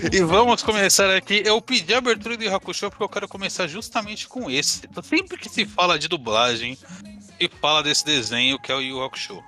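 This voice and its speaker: A man's voice: high-pitched, lively intonation, a little fast, bright, forceful tone, soft background music. A man hosting a podcast, teasing and joking with his co-hosts. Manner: teasing, joking, swears freely. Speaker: podcast host. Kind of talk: casual chat.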